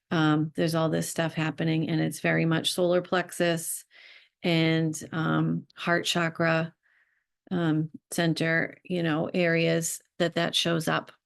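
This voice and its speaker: A woman speaking off the cuff as a spiritual self-healing guide, reflective and halting, pausing often as she thinks. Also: deep voice, creaky. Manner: halting, thoughtful, with pauses. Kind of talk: unscripted reflective talk to viewers.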